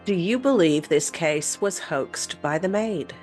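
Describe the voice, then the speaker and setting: A woman's voice: fairly low pitch, lively intonation, a little slow, darkish tone, soft background music. A woman co-hosting a paranormal show, telling a story in a conversational way.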